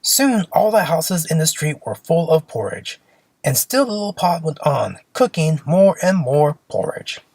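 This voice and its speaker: Animated, creaky fairy tale storyteller. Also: medium pitch, a little slow, no room sound. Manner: expressive, simple storybook delivery. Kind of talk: children's fairy tale read aloud.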